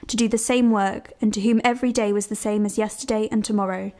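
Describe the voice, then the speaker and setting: A woman's voice: medium pitch, steady tone, quick pace, dark tone. A woman narrating, reading descriptive literary prose aloud.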